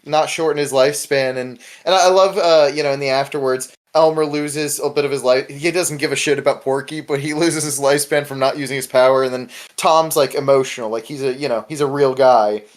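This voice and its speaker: A young man hosting a casual discussion podcast, retelling things in an animated way with frequent swearing. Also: medium pitch, brisk, hardly any pauses. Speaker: podcast host.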